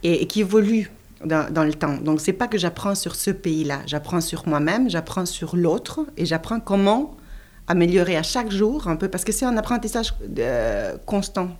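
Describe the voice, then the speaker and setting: A woman's voice: lowish voice, expressive, moderate pace, darkish tone. A woman from Argentina, an intercultural coach, answering interview questions in a reflective way, often repeating words as she searches for them.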